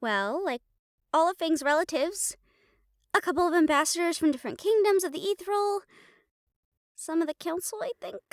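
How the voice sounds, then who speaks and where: A woman's voice: very high-pitched, animated, moderate pace, long pauses, no room sound, studio quality. A woman playing a perky, girlish, well-meaning but scatterbrained young royal in a fantasy audio roleplay. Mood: upbeat, assured. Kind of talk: dramatic audio roleplay acting.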